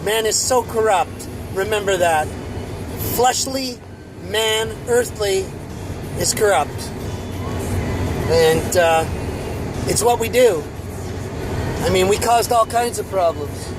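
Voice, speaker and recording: A man's voice: sits high, slow, pauses often, music in the background. A man in his fifties, a bishop, talking in a rambling, reflective way with many restarts while walking outdoors and filming himself on a phone. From Canada (Ontario). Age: 50s. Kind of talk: casual vlog monologue. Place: outdoors on a winter street, walking. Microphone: handheld phone camera. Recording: loud outdoor traffic noise.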